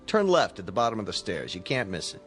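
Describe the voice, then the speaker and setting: Folksy no-nonsense guard, radio drama, casual delivery, lively pitch, faint music. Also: male voice, fast. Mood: helpful, chatty. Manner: casual, plain-spoken, clipped phrases. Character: chatty, folksy, no-nonsense male museum guard.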